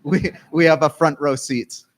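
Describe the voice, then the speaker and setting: A man from Canada giving an academic keynote lecture, speaking in measured phrases and pausing often. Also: fairly high pitch, fairly even intonation.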